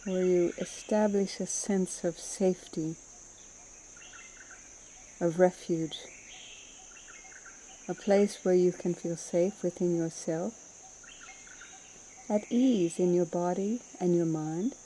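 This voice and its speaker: A woman meditation teacher leading a guided mindfulness practice in a soothing, unhurried manner, with gentle pauses between phrases. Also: fairly low pitch, a little hiss or hum.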